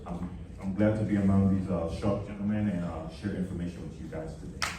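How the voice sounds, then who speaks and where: Earnest low-voiced man in an echoey hall. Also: fairly even intonation, fast talker, far from the mic, background noise. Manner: earnest and urging, builds short lists. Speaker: panel speaker. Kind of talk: speaking to a live audience. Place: event hall.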